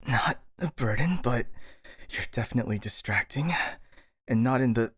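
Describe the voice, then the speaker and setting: A grumbling, worried ninja acted by a man with a husky, breathy edge. He speaks in a wry, scolding way at a middling pitch, recorded dry and close as in an intimate audio roleplay. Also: expressive, moderate pace, a bit bright, no echo. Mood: exasperated, flustered. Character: exasperated, worried, grumbling male master ninja.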